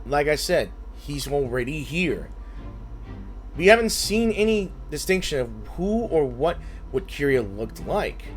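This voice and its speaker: A man's voice: fairly high pitch, big pitch swings, measured pace, soft background music. A man hosting a gameplay video, commenting casually and thinking aloud with informal interjections. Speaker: gaming video host.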